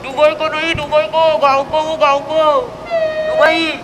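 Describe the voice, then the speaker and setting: Young performer as high-pitched, bumbling village trader. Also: level tone, slow. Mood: busy. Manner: broken Hindi mixed with Marathi, phone chatter. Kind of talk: school stage comedy acting. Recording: live school stage recording.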